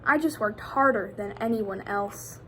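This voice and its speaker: A woman's voice: mid-range voice, measured pace, sharp tone, slight background noise. A woman reading a picture book aloud to children, expressively, addressing them directly with questions like a teacher.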